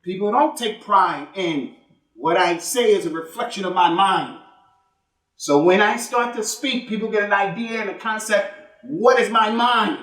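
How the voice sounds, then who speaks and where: A man's voice: high voice, fairly bright tone. A man giving an emphatic sermon in a mosque, repeating points and asking rhetorical questions, with Arabic religious terms mixed into his English. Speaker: imam giving Friday sermon. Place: mosque prayer hall. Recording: echoey hall, voice from some distance.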